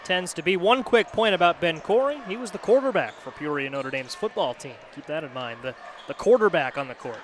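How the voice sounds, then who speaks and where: Male basketball analyst for radio, high-pitched, conversational and explanatory, slight game noise behind. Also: lively intonation, a little fast. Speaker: basketball color commentator. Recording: faint gym noise, bouncing balls. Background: basketball game in a gym.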